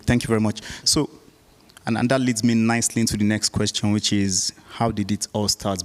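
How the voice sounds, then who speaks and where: Male host, low voice, playful ribbing, stumbles into questions. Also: smooth. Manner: teasing, repeats words when starting. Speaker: interview host. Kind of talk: casual interview chat.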